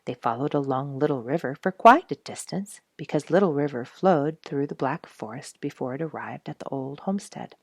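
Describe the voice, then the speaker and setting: A woman's voice: sits low, animated, moderate pace, few pauses, darkish tone, no room sound. A woman reading a children's storybook aloud and chatting encouragingly to young listeners as host. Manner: encouraging, addresses young readers directly. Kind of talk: read-aloud children's storybook with casual asides.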